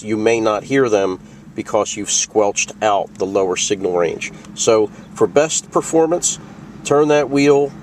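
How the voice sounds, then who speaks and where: A man's voice: lowish voice, recorded from a distance, slight background noise. A man hosting a casual product tutorial, explaining things plainly and step by step. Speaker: video host demonstrating a radio.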